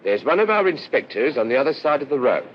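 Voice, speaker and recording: An actor playing an obliging London constable with an English accent, colloquial and deferential, in a radio drama. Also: male voice, mid-range voice, very animated, quick pace, a little hiss or hum, close to an everyday voice. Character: obliging, plain-spoken male London police constable. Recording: muffled lo-fi radio drama recording.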